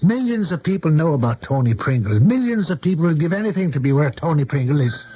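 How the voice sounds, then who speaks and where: An actor playing a plain-spoken, loyal confidant in a 1970s radio drama, folksy and emphatic. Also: male voice, fairly high pitch, sing-song, fast, a little hiss or hum. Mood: reassuring. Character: blunt, loyal male confidant of an aging politician. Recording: dull lo-fi 1970s radio recording.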